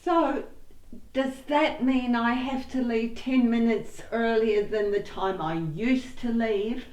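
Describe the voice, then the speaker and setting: A woman's voice: on the high side, slow, darkish tone. A woman playing a scatterbrained, fussy older radio actress in a comedy, talking in a flustered, rambling way. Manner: flustered, rambling, over-explaining. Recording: roomy echo.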